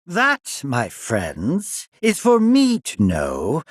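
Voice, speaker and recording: An actor playing a pompous middle-aged mad scientist in a video game, sinister and gloating, drawling his lines with relish in a raspy voice. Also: mid-range voice, huge pitch swings, drawn-out words, dry recording, clean studio sound, heavily acted. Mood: pompous, mocking. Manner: pompous, drawling, relishing menace with sly chuckles. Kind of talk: theatrical video game villain acting.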